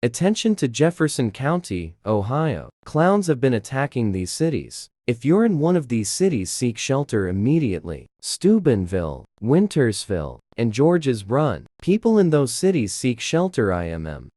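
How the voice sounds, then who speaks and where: A man's voice: medium pitch, sing-song, moderate pace, hardly any pauses, mellow, no room sound, clean studio sound. A man reading a homemade, scripted emergency announcement with urgent, repeated warnings.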